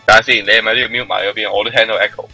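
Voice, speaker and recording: Very bright-voiced Hong Kong man streaming. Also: fairly low pitch, steady tone, rapid-fire, gravelly, poor audio. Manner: mixes English words into speech. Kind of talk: casual live-stream banter. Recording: music behind the voice.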